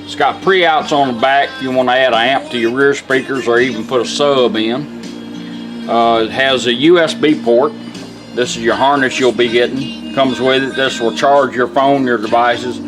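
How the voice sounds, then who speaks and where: A man's voice: mid-range voice, steady tone, moderate pace, music in the background. A plain-spoken man giving a casual hands-on product demonstration in a folksy way.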